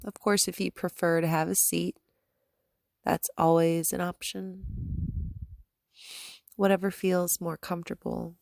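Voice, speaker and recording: Female meditation guide: calm and gentle, very unhurried, lowish pitch, long pauses between instructions. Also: creaky, no echo, studio quality. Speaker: yoga and meditation teacher.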